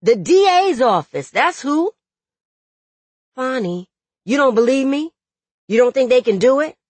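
A woman's voice: lowish voice, slow, no echo. Woman acting out young male prisoner, audiobook drama, desperate, urgent, vernacular, dry close sound. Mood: agitated, angry. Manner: urgent, profane, vernacular phrasing. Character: angry, desperate young jailed man, pleading and tender by turns. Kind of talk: dramatic audiobook character dialogue.

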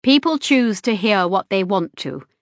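A woman's voice: medium pitch, expressive, measured pace, no echo, clean studio sound. A woman reading the lines of a composed, precise middle-aged male hospital consultant in an audiobook, with a clipped, formal manner.

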